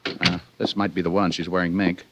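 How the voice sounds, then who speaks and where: An actor playing a slick, sharp-tongued male private detective with a glib, sardonic manner in 1940s radio drama style. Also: low-pitched, flat delivery, brisk, dry recording. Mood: breezy, wry.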